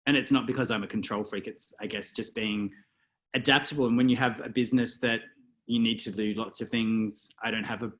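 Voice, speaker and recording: Give me a thoughtful Australian man with a fairly low voice, chatting at an easy pace. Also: steady tone, fairly quick, a bit bright. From Australia (Melbourne). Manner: reflective, thinks aloud with many "um" and "you know". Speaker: guest, stylist and photographer. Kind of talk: casual interview chat.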